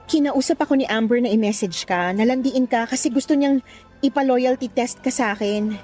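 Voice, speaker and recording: A woman's voice: on the high side, fairly quick, darkish tone, close to an everyday voice. A young woman acting a defensive other woman explaining herself in a radio drama. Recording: music behind.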